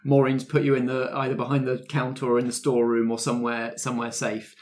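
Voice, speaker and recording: A man's voice: medium pitch, completely flat delivery, fast talker. A man with a British accent, casually narrating and chatting as game master and host of a role-playing podcast.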